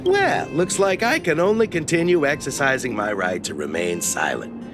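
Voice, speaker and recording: A man's voice: fairly high pitch, big pitch swings, normal speed. Blustering, wheedling game merchant: shifty salesman, extremely swooping intonation, theatrical, over background music. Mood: smug, stubborn. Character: shifty, blustering merchant, proud and easily cowed. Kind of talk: video game voice acting.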